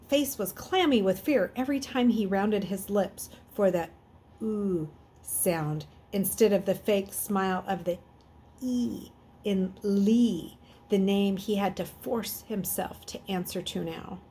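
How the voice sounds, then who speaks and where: A woman reading a children's novel aloud in an expressive way, occasionally stumbling and correcting herself. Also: mid-range voice, measured pace, a little hiss or hum. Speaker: reader.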